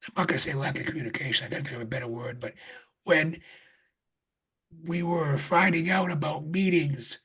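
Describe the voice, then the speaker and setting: Raspy-voiced man chairing a town board. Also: on the high side, brisk, pushed and bright. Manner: earnest, rambling with restarts. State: voice getting raspy. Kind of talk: public meeting remarks. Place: town conference room.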